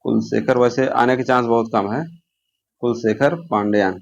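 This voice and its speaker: Guy coaching for exams, mid pitch, asks stuff then answers it, repeats the key words. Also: steady tone, normal speed, vocal fry, dull audio. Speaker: exam coaching teacher. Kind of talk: informal exam-prep lesson, question and answer.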